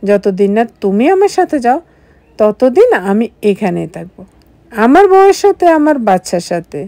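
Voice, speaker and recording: A woman's voice: a little high, big pitch swings, moderate pace, dark tone, no echo. A woman doing a teasing young husband. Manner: playful, coaxing.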